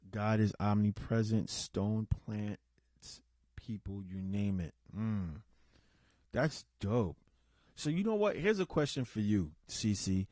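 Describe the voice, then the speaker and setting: A man hosting a casual, unscripted podcast, talking in a loose, rambling way, restarting sentences and giving shout-outs to listeners. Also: on the low side, no room sound. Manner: rambling and conversational, with many restarts and asides. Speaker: podcast host.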